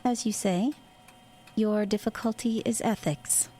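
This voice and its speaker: A calculating military woman in an audio drama, played by an actress. Her voice sits at a medium pitch, and she speaks with clinical control and cool authority. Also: fairly even intonation, a little slow, warm tone, slight background noise, close to an everyday voice. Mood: coolly calculating. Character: cold, calculating woman superior in a covert military unit. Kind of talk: audio horror drama acting.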